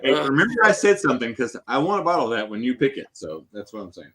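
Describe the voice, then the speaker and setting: A man's voice: medium pitch, very animated, quick pace, fairly bright tone. A man from Tennessee chatting on a whiskey live stream, insistent, repeating himself and stumbling on his starts. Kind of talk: casual chat.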